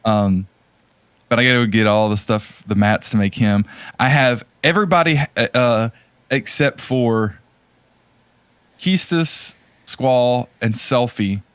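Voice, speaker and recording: A man co-hosting a podcast, chatting casually about video games in a halting, rambling way. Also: mid-range voice, a bit bright, smooth, dry recording. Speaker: podcast co-host.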